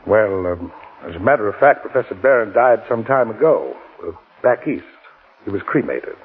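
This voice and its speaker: An actor playing a candid, genial middle-aged lawyer telling a story in 1940s radio drama style. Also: male voice, fairly low pitch, expressive, normal speed, breathy edge. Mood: measured, discreet. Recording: tinny, band-limited 1940s radio broadcast, music.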